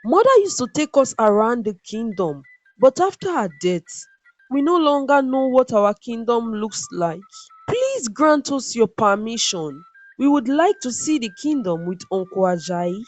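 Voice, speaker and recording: A woman's voice: a little high, big pitch swings, measured pace, soft background music. A woman voicing a clever young princess in a folktale, respectful and pleading toward her royal father. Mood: tearful pleading.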